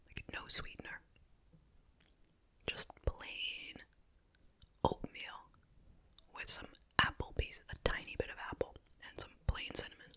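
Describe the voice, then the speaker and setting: Woman whispering casual ASMR chit-chat. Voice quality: breathy unvoiced whisper. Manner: relaxed, rambling, conversational. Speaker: ASMR video host. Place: at home in a residential house.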